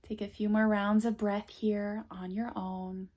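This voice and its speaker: A woman yoga teacher leading a guided meditation in soothing, gentle short phrases, with a soft voice that turns breathy at times. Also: mid-range voice, little pitch movement, unhurried.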